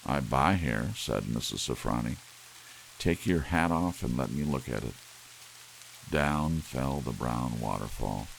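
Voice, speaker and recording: A man with an extremely deep, gravelly voice narrates an audiobook in a soft, measured ASMR style. His intonation rises and dips in a lively way as he tells the story. A gentle hiss of rain runs behind his reading. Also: low-quality recording.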